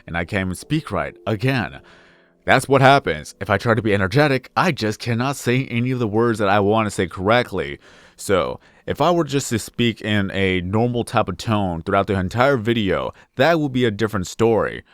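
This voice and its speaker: A young man with a deep baritone voice chatting casually over gameplay, rambling and correcting himself as he goes, pushing extra energy into his delivery. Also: expressive, normal speed, no echo. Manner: rambling, self-correcting, repeats phrases; puts on extra energy for videos. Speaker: gaming YouTuber. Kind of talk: casual let's play commentary.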